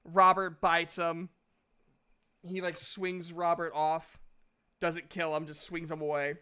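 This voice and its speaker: Bright, clear male game master. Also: on the high side, completely flat delivery, slow. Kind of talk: casual tabletop game narration.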